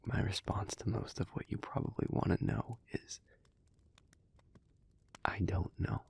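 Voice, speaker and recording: A man playing a brooding, devoted demon in an intimate audio roleplay, speaking in solemn, halting, measured phrases. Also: on the low side, fairly even intonation, vocal fry, darkish tone, breathy edge, heavily acted. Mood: somber, earnest.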